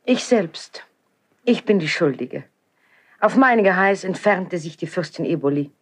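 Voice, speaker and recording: A woman's voice: fairly low pitch, expressive, a little slow, no room sound. An actress playing a dignified, fervent young queen with a solemn, declamatory delivery in a classical radio drama.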